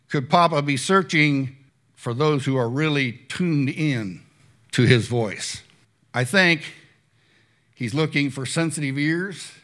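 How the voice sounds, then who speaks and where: A man teaching a church class, reading a devotional passage and then asking the audience questions. He says he has a loud voice and rarely uses a microphone. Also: medium pitch. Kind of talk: sermon with dramatic reading. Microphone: handheld microphone.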